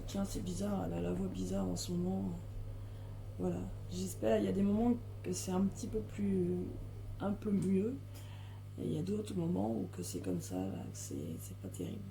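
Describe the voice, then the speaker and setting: A French woman with a strained, gravelly voice talks casually to her coloring audience, and her pitch swings widely. She rambles and hesitates, stopping and restarting mid-thought. A faint hiss sits under the recording. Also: mellow. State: hoarse, strained voice. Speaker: coloring channel host. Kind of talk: casual chat to viewers while coloring.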